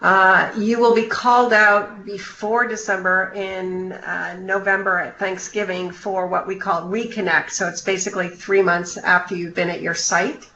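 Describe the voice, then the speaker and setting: A woman giving an informational briefing on a conference call, in a measured, explanatory way with hesitant pauses. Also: medium pitch, fairly even intonation, bright, forceful tone. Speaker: program official briefing new volunteers. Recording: roomy, dull conference-call line with faint noise.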